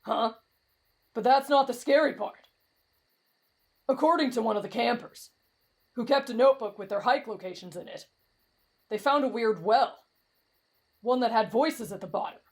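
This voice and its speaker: A woman's voice: on the high side, normal speed, pauses often, close to an everyday voice. A woman voicing a brash, reckless teenage boy in an anime-style roleplay drama, cocky, blunt and dismissive. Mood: matter-of-fact, ominous. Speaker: voice actor in roleplay audio.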